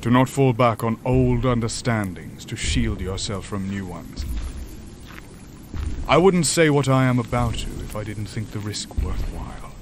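An actor playing a grave, ancient demon from a higher dimension in a horror audio drama, speaking formally and deliberately. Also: male voice, expressive, slight background noise, close to an everyday voice. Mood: formal, calm. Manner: formal, deliberate, solemn, eloquent.

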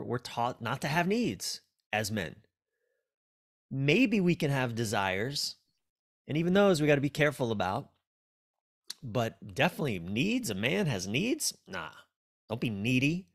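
An American man in his forties speaking frankly and casually as an interview guest, reflective and prone to swearing. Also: medium pitch. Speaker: relationship coach, podcast guest. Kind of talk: casual, candid interview talk. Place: small dim indoor room, video call.

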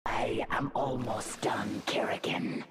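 A woman's voice: extremely low pitch, steady tone, takes it slowly, a little hiss or hum. An actress playing a fierce, arrogant female insectoid alien broodmother in a video game, with haughty, taunting declarations. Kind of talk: video game villain acting.

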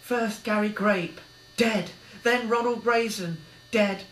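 A man's voice: high voice, sing-song, normal speed, a bit bright, far from the mic, voice pushed up. A man voicing a male cartoonish fruit character who declaims to his guests. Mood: declaiming. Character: Billy, male fruit, party host turned vengeful. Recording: echoey room, faint mains hum.

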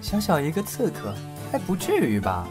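Woman doing a teasing young lord in a period radio play, haughty n coy, kinda low lively voice. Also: moderate pace, faint music behind. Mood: puzzled. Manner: coquettish, teasing, mocking. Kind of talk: costume radio drama acting.

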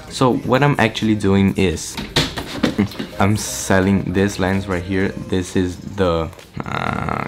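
A teenage boy from Brazil, Portuguese native, chatting excitedly in a casual vlog update from his small bedroom, rambling and restarting often. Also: deep voice, gravelly. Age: teens. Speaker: vlogger. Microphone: camera-mounted shotgun mic.

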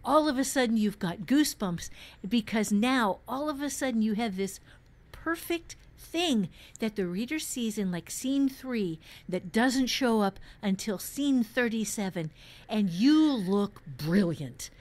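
A woman's voice: a little high, animated, a little slow, darkish tone. A woman co-hosting a writing podcast, teaching conversationally and emphatically, acting out questions as she explains. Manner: emphatic, dramatizes imagined questions.

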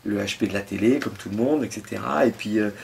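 A man's voice: on the low side, quick pace, creaky, darkish tone, a little hiss or hum. Rambling middle-aged man in a home studio. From France. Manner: rambling, many fillers and trailing asides. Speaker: guitarist. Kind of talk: casual interview answers. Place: home music studio, indoors.

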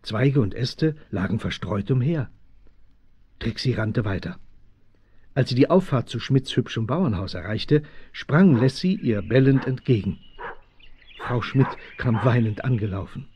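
A man's voice: medium pitch, animated, unhurried, a little mellow, slightly rough, no room sound. A man narrating a children's German radio play in a scripted storytelling style.

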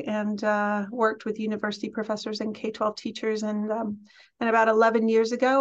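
Middle-aged woman from England, guest speaker on a call: friendly, chatty, filler-heavy, muffled lo-fi sound. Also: medium pitch. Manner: friendly, chatty, many ums and restarts. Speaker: college president, guest speaker. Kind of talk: casual talk on a video call. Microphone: video call. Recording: dull lo-fi video call audio.

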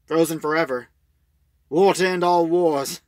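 A man's voice: a little high, a little slow, no room sound, lightly acted. Guy playing tired old army man, sad and grave, short clipped bits, radio play style. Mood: sombre, bitter. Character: weary, sorrowful old British soldier, sickened by war. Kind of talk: science-fiction audio drama acting.

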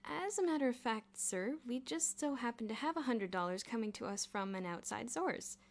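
A woman's voice: on the high side, expressive, moderate pace, voice pushed up. A woman voicing a brash, scheming schoolboy in an audiobook story, eager, emphatic and insistent. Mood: earnest, pleading.

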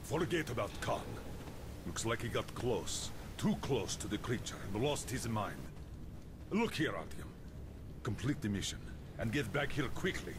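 A man's voice: mid-range voice, very animated, moderate pace, noisy background, lightly acted. An actor playing a stern male colonel in a video game, giving short, commanding orders over game music. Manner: curt, commanding. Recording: game audio under music.

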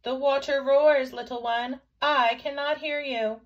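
A woman's voice: mid-range voice, unhurried, dull audio, close to an everyday voice. A woman voicing a stern, easily exasperated mother, scolding in formal, emphatic phrases in a storybook reading. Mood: calling back.

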